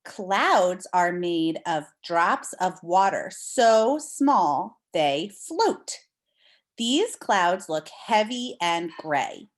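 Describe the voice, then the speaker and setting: A woman teacher leading a read-aloud lesson for young children, explaining things simply and reading with deliberate expression. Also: medium pitch.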